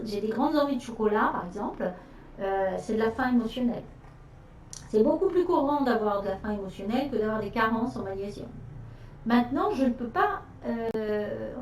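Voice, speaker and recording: A middle-aged woman from France chats on a casual live stream, pausing often with fillers and restarting her sentences. Her voice is mellow and slightly high. She sits back from the mic in a room that echoes, with faint noise behind her. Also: recorded from a distance. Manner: hesitant, many fillers and restarts. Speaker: nutrition specialist hosting a live stream.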